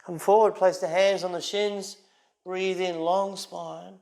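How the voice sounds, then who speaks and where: A man with a high-pitched voice guides a yoga class. His delivery is calm and unhurried, in short instructive cues, with a creaky, gravelly edge to the tone.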